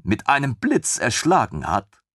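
A man's voice: medium pitch, wildly swooping pitch, measured pace, fairly bright tone, husky, dry recording, clean studio sound, pitched above the performer's own voice, exaggerated voice. A man voicing a tearful, shaken woman. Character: tearful laughing woman, shaken but composing herself. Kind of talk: audiobook reading.

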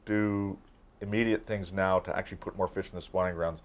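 Male, 60s, lowish pitch. Expert guest in casual office conversation, explanatory, halting with repeated words. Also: steady tone. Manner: halting, repeats words while forming points. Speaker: academic expert, interview guest. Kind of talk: informal interview conversation, explanatory. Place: small office, indoors.

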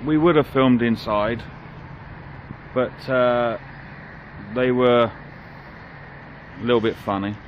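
A man's voice: medium pitch, takes it slowly, pauses often, highs cut off. A man, chatting casually as a vlog host. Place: outdoors by a roadside pub. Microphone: handheld camera at arm's length. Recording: clear outdoor traffic and ambient noise.

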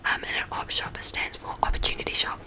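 Young New Zealand woman whispering ASMR. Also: fairly quick. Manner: rambling, chatty whisper with many ums. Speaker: ASMR creator. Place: small bedroom, indoors. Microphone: studio mic on stand, close up.

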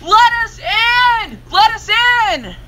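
A woman's voice: very high voice, big pitch swings, takes it slowly, pushed and bright, a little hiss or hum, heavily acted. A woman playing an anxious, urgent young hero in an amateur Minecraft roleplay.

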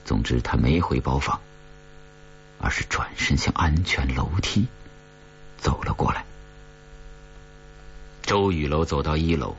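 Male audiobook narrator for horror, extremely deep, animated intonation, deliberate dramatic pauses, faint hum in the recording. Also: muffled recording. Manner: measured, suspenseful delivery with deliberate pauses.

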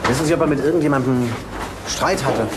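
A man plays a businesslike detective in a radio crime play. He talks fast in a medium-pitched voice, terse and direct. There is clear noise behind him. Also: natural voice. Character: businesslike male police detective questioning a boss. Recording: clear background noise of work yard.

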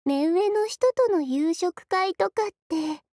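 A woman voicing a gentle, cozy young girl soldier in an anime game, with a soft, cutesy and politely familiar manner. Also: very high-pitched, animated, slow, no room sound, studio quality, heavily acted. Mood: cheerful, gentle. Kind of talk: anime mobile game character voice lines.

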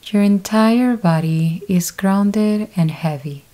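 Slow, mellow-voiced woman guiding meditation. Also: mid-range voice, poor audio. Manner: slow, calm step-by-step instructions with long pauses. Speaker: yoga nidra teacher. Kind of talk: guided meditation.

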